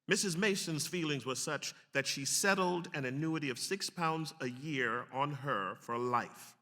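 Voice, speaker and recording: A man's voice: a little high, unhurried, pushed and bright. A man giving a formal, emphatic dramatic reading of a historic account. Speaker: actor reading aloud. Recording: long hall reverb.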